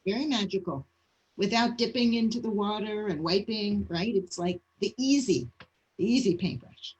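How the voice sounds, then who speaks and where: A woman's voice: mid-range voice, normal speed, a little mellow, rough recording. A woman with a mid-range voice hosts a casual art session. She prompts and comments in an encouraging, affirming manner. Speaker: host of a children's art session.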